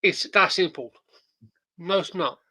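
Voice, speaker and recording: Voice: mid-range voice, a little slow, pushed and bright, slightly rough, no echo. A podcast host in a casual reaction chat, rambling and profane with many fillers.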